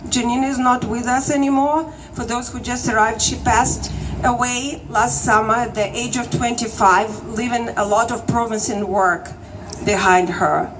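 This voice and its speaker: A woman's voice: fairly high pitch, steady tone, normal speed. A woman hosting a live literary event, reading and introducing writers to an audience. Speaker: event host and reader. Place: live event in a city alley. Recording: noisy live recording, distant mic, street ambience.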